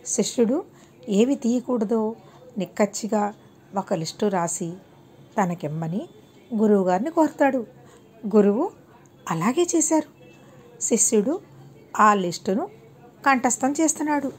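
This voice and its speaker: A woman's voice: a little high, lively intonation, unhurried, pauses often, slightly rough. A woman narrating a scripted moral tale for children as an audiobook storyteller.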